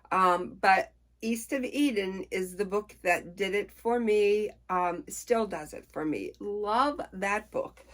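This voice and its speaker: A middle-aged woman chatting casually to camera about books, unhurried and folksy, with a playful, expressive way of talking. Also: medium pitch. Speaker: book reviewer on a personal channel.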